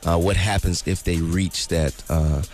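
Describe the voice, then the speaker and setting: Deep-voiced American man, casual radio co-host. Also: fairly even intonation, measured pace, a little mellow. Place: radio studio. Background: music.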